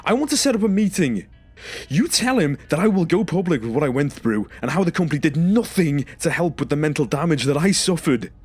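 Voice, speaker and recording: A man's voice: on the high side, sing-song, brisk, darkish tone, breathy edge, soft background music. A man playing a traumatised, confrontational former stasis patient in an audio drama, speaking emphatically and demanding accountability. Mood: firm, threatening.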